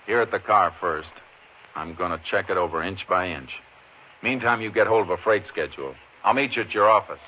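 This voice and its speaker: Low-voiced Texas Ranger played by a man, vintage radio. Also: a little fast, lightly acted. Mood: focused. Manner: clipped, matter-of-fact, methodical. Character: steady, observant Texas Ranger investigator. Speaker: lead actor in a radio western. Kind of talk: 1950s radio drama acting and first-person narration. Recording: noisy, muffled vintage radio recording.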